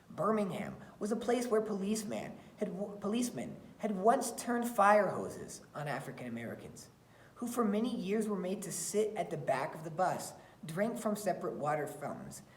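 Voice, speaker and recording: A woman teacher reading aloud and talking to students in a deliberate, emphatic way. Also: on the low side, darkish tone, a little hiss or hum. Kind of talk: expressive read-aloud and lesson talk for children. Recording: roomy, echoey sound.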